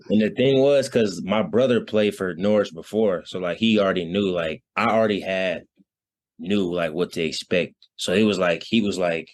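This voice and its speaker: A man in his early twenties, a college basketball player answering interview questions in a casual, slangy way, often saying "you know". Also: deep voice. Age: early 20s. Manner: informal, slangy, frequent 'you know'.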